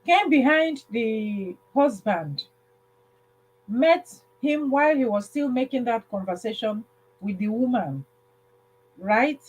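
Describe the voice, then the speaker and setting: A woman hosting a livestream, casually telling a story in a halting way, repeating phrases and checking in with listeners. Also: fairly high pitch, lively intonation, a little mellow, breathy edge, poor audio. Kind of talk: casual spoken storytelling with advice.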